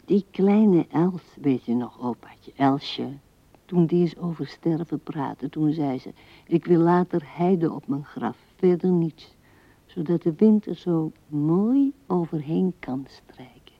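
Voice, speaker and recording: An actress playing an old widow who muses tenderly and haltingly to her late husband in a radio drama, often dropping to a hush. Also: low-pitched, no echo, exaggerated voice. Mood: reminiscing. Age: older. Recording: dull 1970s radio recording.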